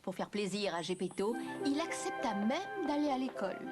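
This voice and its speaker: A woman storyteller giving an expressive scripted narration for children. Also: on the high side, moderate pace, over loud music.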